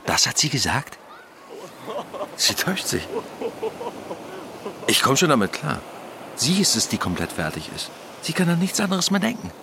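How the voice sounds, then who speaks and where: Hot-tempered, blustering husband, very animated. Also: male voice, medium pitch, moderate pace, vocal fry, long pauses, background noise. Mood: angry, defensive. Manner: emphatic, indignant, repeats himself. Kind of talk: naturalistic radio drama acting.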